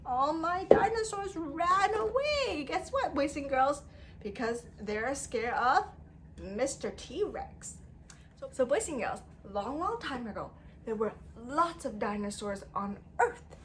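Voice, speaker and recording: A woman's voice: high-pitched, expressive, takes it slowly, a little hiss or hum. A woman leading an interactive storytime lesson for young children, speaking in an exaggerated sing-song way with prompting questions and repetition.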